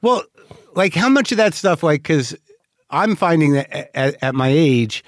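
Frank, rambling man, higher voice. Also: expressive, measured pace, no room sound, clean studio sound. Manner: frank, rambling, many restarts. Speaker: podcast host. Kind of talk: casual interview chat.

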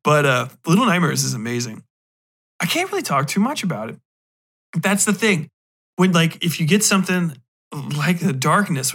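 A man's voice: fairly high pitch, fairly bright tone. A man hosting a podcast, giving a casual, unscripted review in a rambling, emphatic way. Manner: rambling, emphatic, profane slang. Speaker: podcast host.

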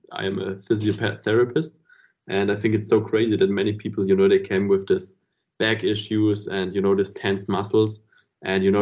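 A man's voice: lowish voice, a little mellow. A man in a casual podcast conversation, talking in a reflective, rambling way and often saying "you know". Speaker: podcast host. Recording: dull lo-fi podcast audio.

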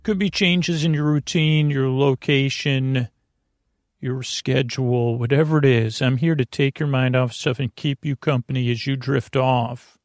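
A man hosting a bedtime podcast in a creaky voice, meandering and repetitive, halting and wandering off on tangents. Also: mid-range voice, steady tone, dry recording, studio quality. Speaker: podcast host. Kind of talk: rambling bedtime podcast monologue.

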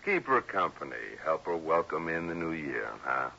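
An actor playing a folksy, blunt male insurance man in an old-time radio drama, with husky, slangy, emphatic speech. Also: extremely low pitch, very animated, moderate pace, sharp tone, heavily acted. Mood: suspicious. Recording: muffled vintage radio recording.

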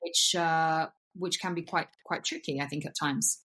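A woman born in Bulgaria and raised in England, an actor answering interview questions thoughtfully, with many pauses and fillers. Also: low-pitched, steady tone, fairly bright tone, breathy edge. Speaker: actor, podcast guest.

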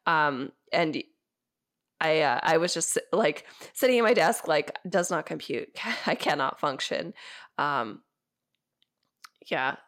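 A young woman co-hosting a podcast in casual chat, sounding congested and stuffy as she gets over an illness, rambling with lots of fillers and quick laughs. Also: low-pitched, animated, a bit bright. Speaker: podcast co-host.

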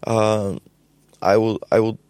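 A young adult man with a Bulgarian accent, a podcast guest talking casually in a halting way with many fillers and repeated words. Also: fairly low pitch, level tone, darkish tone, smooth, no echo. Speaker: podcast guest, label co-founder. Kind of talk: casual conversational interview.

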